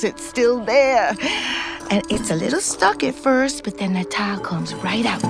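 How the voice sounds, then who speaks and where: An actress playing a foul-mouthed, middle-aged ex-convict, telling her story in a confiding, conversational way. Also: medium pitch. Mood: shaken. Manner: streetwise, blunt, confiding. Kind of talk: scripted first-person narration, conversational.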